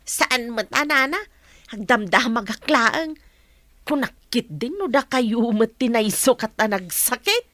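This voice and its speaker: A woman with an Ilocano accent acting a nosy, chatty village mother in a radio drama, inquisitive and expressive. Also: fairly high pitch, slow pace, no room sound, studio quality, heavily acted. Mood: curious.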